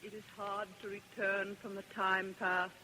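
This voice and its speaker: Slow, sorrowful dead woman prophet. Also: mid-range voice, flat delivery. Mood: mournful, solemn. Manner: solemn, halting, incantatory verse delivery. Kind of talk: 1930s radio verse drama acting. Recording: bright, noisy old radio recording.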